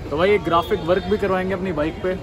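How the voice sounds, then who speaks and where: A man's voice: high-pitched, steady tone, fast, over loud music. A young man, vlogging casually into a phone at arm's length, chatting with lots of fillers. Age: young adult. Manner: chatty, fillers like yaar and guys. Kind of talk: casual vlog chat. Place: busy outdoor market street. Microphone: phone held at arm's length. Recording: loud street crowd behind. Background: crowd and traffic noise.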